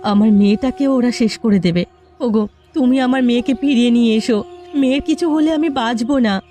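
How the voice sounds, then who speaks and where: A woman's voice: fairly high pitch, a little fast, warm tone, soft background music, close to an everyday voice. A woman playing an anxious, protective mother of a grown daughter in a cartoon drama, speaking in a firm, urgent, pleading way. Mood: distraught.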